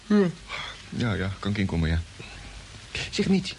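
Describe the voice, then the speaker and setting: A man's voice: on the low side, huge pitch swings, measured pace, darkish tone, full character voice. An actor playing an eager, curious space crewman who stammers and questions, in mid-century radio drama style. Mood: puzzled, musing. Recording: noisy old radio play recording.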